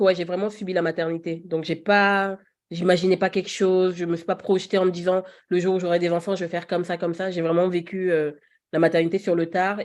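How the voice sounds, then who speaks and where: A woman in her thirties speaks as a podcast guest, relaxed and chatty. She laughs easily and fills her pauses. Her voice sits a little low. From Paris region. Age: 30s. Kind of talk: casual chat.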